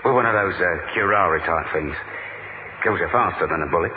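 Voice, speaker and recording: An actor playing a wry, down-to-earth British spy in a radio drama, casual, slangy and deadpan, with a British accent. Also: male voice, deep voice, brisk, background noise, natural voice. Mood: blunt, casual. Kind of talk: 1970s radio drama acting with first-person narration. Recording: tinny old radio recording, background music.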